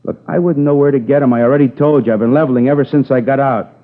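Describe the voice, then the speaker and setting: A man's voice: mid-range voice, fast, close to an everyday voice. An actor playing a sullen, aging male ex-convict who answers police questions in a whiny, evasive, complaining way in a 1950s radio crime drama. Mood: defensive. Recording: tinny narrow-band old radio transcription.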